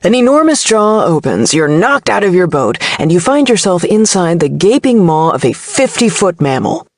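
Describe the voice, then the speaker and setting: A woman's voice: lowish voice, big pitch swings, normal speed, darkish tone, no echo, studio quality. A woman voicing an impish, teasing man who hosts a podcast in an audiobook novel. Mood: teasing suspense. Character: impish bearded male podcast host, teasing best friend.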